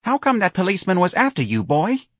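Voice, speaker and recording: A man voicing a fretful, nagging older working-class mother with husky, scolding, colloquial speech in an audiobook. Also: sits high, huge pitch swings, quick pace, fairly bright tone, no room sound, clean studio sound, voice pushed up, exaggerated voice. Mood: anxious scolding. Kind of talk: audiobook character voice.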